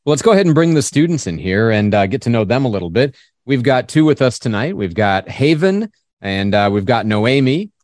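A man's voice: medium pitch, sing-song, a little fast, a little mellow, dry recording, clean studio sound. A man hosting an interview, affirming his guests and laughing easily as he moves the talk along. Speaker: host.

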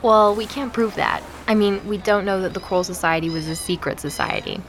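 An actress playing a curious, skeptical woman investigator in an audio drama, speaking in a measured, cautious way. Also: medium pitch, a little hiss or hum, lightly acted. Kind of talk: audio drama narration and naturalistic dialogue.

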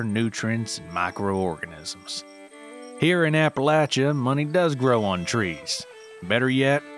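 Casting: male narrator, mid-pitched, deadpan documentary delivery, measured, plucked-string music underneath. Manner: deadpan, measured, mock-serious. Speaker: writer and narrator. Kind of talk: scripted documentary-style narration.

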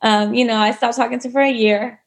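A woman's voice: on the high side, steady tone, fast, creaky. A woman telling her own story in a casual interview, chatty, full of likes and you knows. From United States. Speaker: guest.

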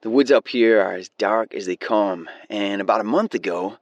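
A man's voice: on the low side, normal speed, no echo, highs cut off, close to an everyday voice. Actor as an earnest male radio caller, dry sound. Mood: earnest, eager. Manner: hesitant, earnest, stumbling over words. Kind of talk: naturalistic audio drama acting.

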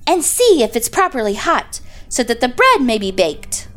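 A woman voicing a wicked old witch, spiteful and greedy, with a shrill, gloating, cackling manner. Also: big pitch swings, normal speed. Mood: scornful. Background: soft music.

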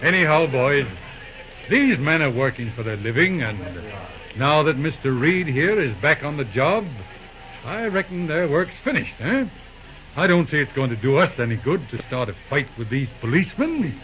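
A muffled old radio drama recording of a man playing a folksy, crafty judge. His voice sits fairly high and swings widely as he makes peace in courtly, mildly mocking phrases, stumbling now and then. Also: big pitch swings, faint music behind. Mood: calm, folksy. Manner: courtly, deliberate, slightly mocking politeness. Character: folksy, wily, calm judge, a railroad town's peacemaker. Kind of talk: old-time radio western drama acting.

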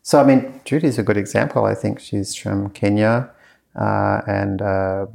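A man's voice: lowish voice, steady tone, unhurried, vocal fry, a little mellow. A man being interviewed in casual conversation, talking in a loose, rambling way with lots of fillers and restarts. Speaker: course creator being interviewed.

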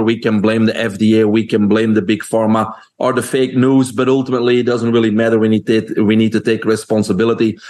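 A man's voice: medium pitch, a little mellow. A man from Belgium with a Belgian accent, a guest on a podcast interview, talking earnestly and fluently with frequent uh fillers.

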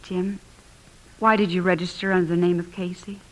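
An actress playing an anxious, perceptive wife in a 1950s radio drama, earnest and pleading in a dramatic manner. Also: female voice, fairly low pitch, flat delivery, normal speed, lightly acted, rough recording. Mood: uneasy, probing. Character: anxious, perceptive young wife confronting her husband.

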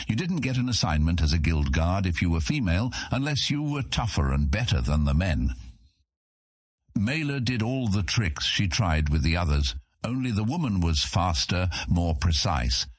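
A man with a husky voice reading scripted fantasy narration as an audiobook narrator. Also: sits low, no room sound, clean studio sound.

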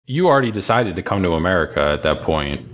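A man's voice: low voice, big pitch swings, fast, fairly bright tone. A man working as an interviewer, asking questions in a casual, conversational style. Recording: faint background noise, ambience.

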